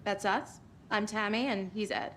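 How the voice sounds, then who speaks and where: A woman's voice: medium pitch, steady tone, moderate pace, a bit bright, slight background noise, natural voice. An actress playing a wry, frazzled female tourist. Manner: wry, sarcastic.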